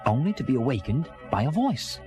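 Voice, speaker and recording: A man playing an animated castaway storyteller. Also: mid-range voice, fairly quick, lightly acted. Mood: earnest, reflective. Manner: animated, theatrical delivery. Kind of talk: dramatized children's read-along storytelling. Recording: vintage record with music behind. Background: music.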